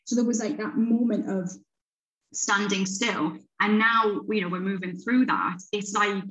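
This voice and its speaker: A woman in her late thirties talks loosely as a podcast guest, circling back and restarting her sentences as her thoughts unfold. Her pitch sits in a plain middle range. The recording sounds a little dull and lo-fi. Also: fairly even intonation, unhurried, a bit bright. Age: 30s. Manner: rambling, restarts often, laughs while talking. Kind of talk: casual conversation.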